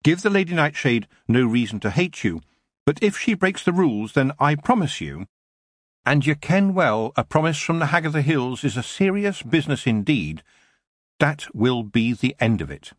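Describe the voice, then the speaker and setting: A stern young witch girl speaks in a raised, firm tone, as a male narrator puts her on. She is measured and commanding, every word deliberate. The recording is close and dry. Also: mid-range voice, sing-song, no room sound, pitched above the performer's own voice.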